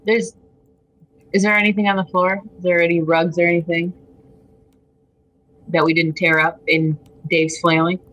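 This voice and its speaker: Bright, lower-pitched woman playing along. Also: flat delivery, poor audio. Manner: wry, teasing, quick to laugh. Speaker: podcast guest, game player. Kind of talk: casual improvised game play.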